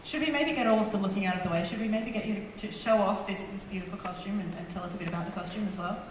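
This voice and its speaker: A woman's voice: medium pitch, racing, slight background noise. A woman co-hosting a convention panel, asking questions in a hesitant, stammering way in a large hall. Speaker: panel co-host. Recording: echoey hall, from far off.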